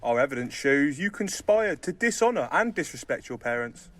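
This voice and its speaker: A man's voice: a little high, big pitch swings, moderate pace, fairly bright tone, no room sound. A young man playing an officious, dutiful police constable in a dystopian audio drama. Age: young adult.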